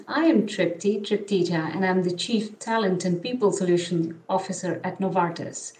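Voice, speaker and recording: A woman, a corporate talent executive, introducing herself in an earnest, measured, polished way. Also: fairly low pitch, darkish tone, roomy sound, low-quality recording.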